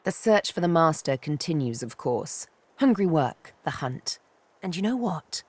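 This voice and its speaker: A woman's voice: low voice, very animated, measured pace, a little mellow, no room sound, full character voice. A woman voicing a sardonic, vengeful elf huntress for a video game, with arch, teasing, theatrical phrasing. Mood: sly, predatory. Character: sardonic, vengeful female elf, former slave turned huntress. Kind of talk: video game character dialogue. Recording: background music under the voice.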